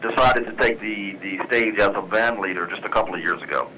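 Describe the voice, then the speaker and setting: A man, a jazz band leader, guesting on a radio talk show and speaking in a relaxed, easygoing way. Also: on the low side, fairly quick, a little hiss or hum, poor audio. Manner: relaxed, easygoing, many fillers. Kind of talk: casual radio interview.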